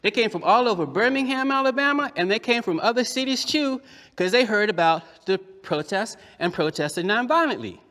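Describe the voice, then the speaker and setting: Animated male storyteller in an echoey hall. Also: high voice. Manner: dramatic, emphatic, repeats phrases with drawn-out words. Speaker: puppeteer and storyteller. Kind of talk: animated storytelling performance for children. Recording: echoey hall with long reverb.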